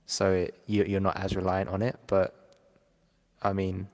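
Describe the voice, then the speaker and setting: Low-voiced male interviewer, roomy reverb. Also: monotone, measured pace, a little mellow. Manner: easygoing, lots of short murmured acknowledgements. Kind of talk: casual interview.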